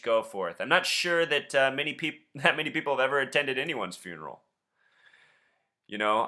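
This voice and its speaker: A man's voice: medium pitch, bright, forceful tone. A man with an insistent, suspicious manner, speaking as an online host and caller in conspiracy commentary. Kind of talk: conspiracy commentary and confrontational phone questioning.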